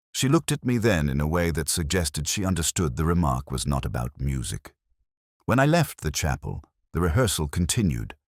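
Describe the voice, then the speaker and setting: A man voicing a weary middle-aged detective, narrating in a measured, melancholy, literary way. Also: a bass voice, no echo, studio quality, full character voice. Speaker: first-person narrator. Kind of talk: scripted audio drama narration.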